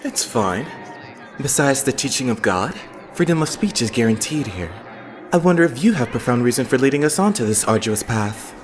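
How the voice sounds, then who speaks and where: Someone doing a calm polite religious young anime guy, measured, medium pitch. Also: natural voice. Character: calm, polite, pious young churchman idol. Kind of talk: anime fandub acting.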